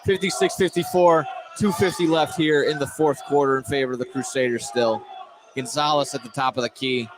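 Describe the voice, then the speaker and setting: A man's voice: mid-range voice, fairly even intonation, fairly quick, crowd noise. Male basketball play-by-play announcer in a noisy gym. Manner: narrates each pass as it happens, repeating words. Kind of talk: live basketball radio commentary. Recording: noisy gym, clear ambient din. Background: gym noise, bouncing basketballs.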